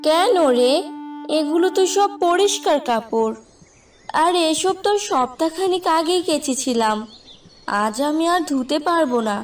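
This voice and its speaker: A woman playing a gentle, downtrodden young village girl in a cartoon fairy tale, meek and pleading. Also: high voice, lively intonation, a little slow, recorded from a distance, soft background music. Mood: timid protest.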